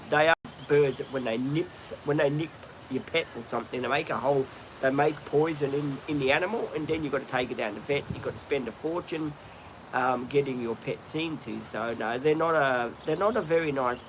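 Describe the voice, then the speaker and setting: A man's voice: mid-range voice, moderate pace. Chatty Australian man, noisy outdoor stream. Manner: chatty, rambling, frequent ums and restarts. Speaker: livestream host. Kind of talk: casual live-stream chat. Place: outdoors, birds in trees nearby.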